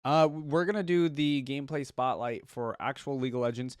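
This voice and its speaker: A man's voice: medium pitch, steady tone, fairly quick, smooth, clean studio sound. A man doing casual reaction commentary as a host, thinking aloud and echoing what he hears.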